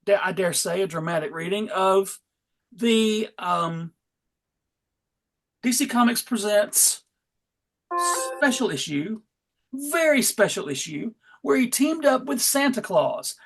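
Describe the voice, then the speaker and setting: A man's voice: sits high, lively intonation, slow pace, pauses often. Wry man hosting a comic table read. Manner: wry, tongue-in-cheek asides. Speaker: host and narrator. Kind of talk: dramatic narration of a comic-book table read.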